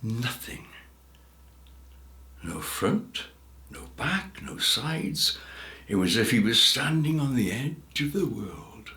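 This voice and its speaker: A man's voice: medium pitch, sing-song, slow, long pauses, sharp tone, roomy sound. A man telling a folk tale as a performer, theatrical, repeating phrases for effect. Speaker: storyteller.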